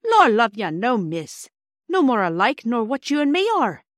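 A woman's voice: a little high, sing-song, normal speed, no room sound, clean studio sound, voice pushed up. Woman doing a rustic old railway porter. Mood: chatty. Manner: broad rustic dialect. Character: cheerful working-class old railway porter.

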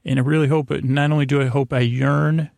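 A man hosting a bedtime podcast in a rambling, halting monologue, stammering and interrupting himself with whimsical silliness. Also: a little high, level tone, darkish tone, dry recording, clean studio sound. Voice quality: gravelly, creaky. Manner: whimsical, silly wordplay. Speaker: podcast host.

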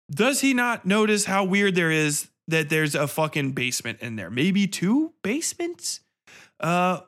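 A man with a slightly high voice talks as a podcast host reviewing a film. He is casual and opinionated, swearing freely and sounding incredulous. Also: pushed and bright. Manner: profane, incredulous.

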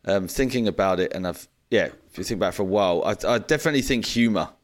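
A man co-hosting a casual podcast, talking things through thoughtfully and pausing to search for words. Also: fairly low pitch. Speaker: podcast co-host. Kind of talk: casual chat.

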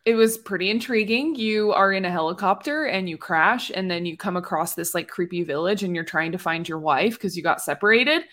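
A woman's voice: on the low side, brisk, hardly any pauses, a bit bright. A woman chatting informally and playfully as a panelist in a podcast discussion. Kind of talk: casual chat, podcast discussion.

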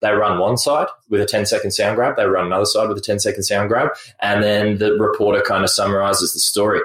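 A man's voice: lowish voice, fairly even intonation, quick pace, a bit bright, roomy sound. A man hosting a podcast, speaking in an emphatic, indignant way. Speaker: podcast host. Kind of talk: casual podcast chat.

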